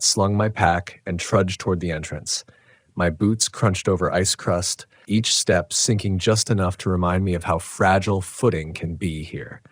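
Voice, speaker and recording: Man, very low voice, dry close sound, scripted horror narration, measured, dramatic. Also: no echo. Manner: measured, dramatic, literary delivery.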